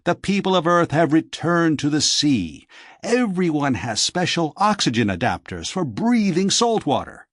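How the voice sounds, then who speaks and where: Argumentative male prisoner performed by a male narrator, raised lively pitch, emphatic delivery, dry audiobook sound. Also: normal speed, no echo. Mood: assertive. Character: insistent male exile recalling a floating-city Earth.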